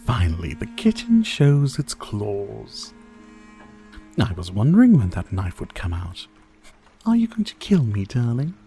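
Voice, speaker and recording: Male actor as ancient vampire lord, seductive and menacing, gravelly fry, swooping pitch, taunting, breathy whispers, ASMR roleplay. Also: huge pitch swings, a little slow, mellow. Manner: theatrical, silky, taunting, often dropping to breathy whispers. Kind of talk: scripted ASMR roleplay addressed to the listener. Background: faint music.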